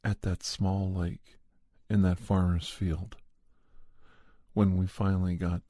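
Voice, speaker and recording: A man plays a calm doctor telling an ASMR monster tale in a low, mellow voice. He speaks very slowly and deliberately, with halting, measured phrases. The sound is dry and intimate. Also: dry recording. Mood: measured, reflective. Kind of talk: slow ASMR horror storytelling.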